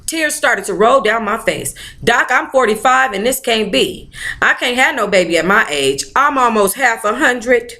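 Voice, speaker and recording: A woman's voice: on the high side, animated, moderate pace, pushed and bright. A woman hosting a book club, reading aloud expressively with sassy, informal interjections of her own. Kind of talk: expressive read-aloud with playful ad-lib commentary.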